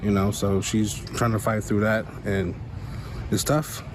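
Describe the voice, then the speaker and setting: A man's voice: lowish voice, flat delivery, unhurried. A man with an American accent giving a casual account as a resident interviewed for the news. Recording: noisy outdoor street interview with traffic.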